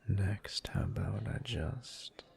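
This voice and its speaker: A man playing a teasing, dominant bully turned seductive lover in an intimate whispered roleplay audio, murmuring in a slow, smug, breathy way. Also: lowish voice, a little mellow, full character voice.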